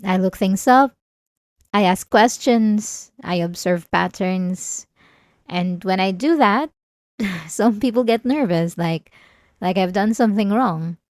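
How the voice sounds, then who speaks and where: A woman acts out an obsessive female patient confiding in her therapist, in an intimate ASMR roleplay. She sounds calm and vulnerable on the surface, with a manipulative edge and hesitant, halting phrasing. Her pitch sits in the middle of the female range, and the recording is dry, with almost no room sound. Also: dry recording, natural voice. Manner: hesitant, halting, with sighs and nervous soft laughs.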